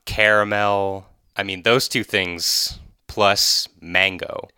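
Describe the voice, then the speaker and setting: An enthusiastic man chatting casually on a podcast, often saying "like." His voice is very low yet strikingly bright and sharp, smooth and clear. It is recorded close and dry. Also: steady tone, slow, no echo. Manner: enthusiastic, conversational, frequent "like". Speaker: podcast guest. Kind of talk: casual storytelling.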